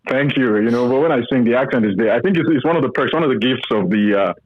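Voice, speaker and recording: A man with a Nigerian accent, a country singer guest on a remote radio interview, talking haltingly with many restarts. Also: mid-range voice, narrow, tinny audio, crowd noise. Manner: halting, many restarts and "you know", laughs easily.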